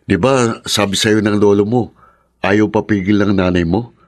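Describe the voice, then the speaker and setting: A man's voice: on the low side, fairly even intonation, normal speed, natural voice. An actor playing a sensible young male friend, earnest and cautioning, in a Filipino radio drama with a Filipino accent. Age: young adult.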